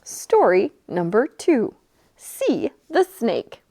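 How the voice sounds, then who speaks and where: A woman's voice: a little high, wildly swooping pitch, very slow, dark tone. A woman presenting a children's library storytime, chatting and reading aloud in a playful, enthusiastic way.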